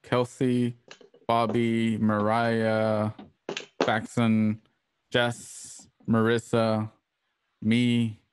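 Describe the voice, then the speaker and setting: A man's voice: on the low side, monotone, very slow, long pauses, slight background noise. A man hosting an online livestream from home, speaking casually into a close microphone. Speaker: host. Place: home room on video call. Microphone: close microphone with headset.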